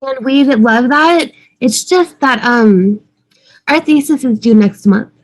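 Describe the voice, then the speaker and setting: Woman as a polite, earnest, high-voiced student. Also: slow pace, rough recording. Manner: apologetic, careful, diplomatic. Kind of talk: fan table read of a TV drama.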